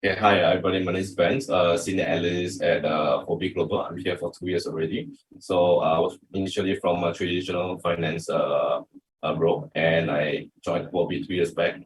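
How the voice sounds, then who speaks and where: A man's voice: low-pitched, steady tone, brisk, a little hiss or hum, poor audio. A man co-hosting the panel, introducing himself plainly with many fillers. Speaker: co-host, senior analyst. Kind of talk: casual self-introduction.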